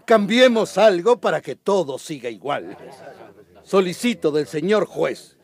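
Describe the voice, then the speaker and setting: A sardonic courtroom lawyer, played by a man in a radio play. His voice is high for a man, and he argues with sarcastic, rhetorical turns. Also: sing-song, unhurried, exaggerated voice. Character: sardonic male lawyer arguing before a judge. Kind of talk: radio drama acting.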